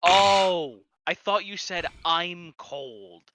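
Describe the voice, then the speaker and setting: A man co-hosting a stream, mocking and teasing his friends with quick jokes. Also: high-pitched, a bit bright. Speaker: stream co-host.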